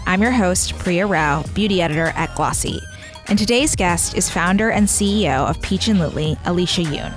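A woman host with a lowish voice, reading a polished, upbeat podcast introduction. Faint music plays softly behind her. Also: lively intonation, normal speed. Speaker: podcast host, beauty editor. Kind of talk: scripted podcast intro and interview questions.

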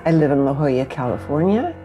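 A woman in her eighties with an American accent, telling her own story with pauses. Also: deep voice, lively intonation, muffled recording, faint music behind. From Kansas City, United States. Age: 80s. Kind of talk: personal storytelling.

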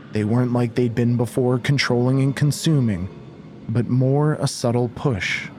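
A man with a medium-pitched voice narrates a horror audio drama. His delivery is measured, literary and intense, over faint background ambience. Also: a little hiss or hum. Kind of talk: scripted dramatic horror storytelling.

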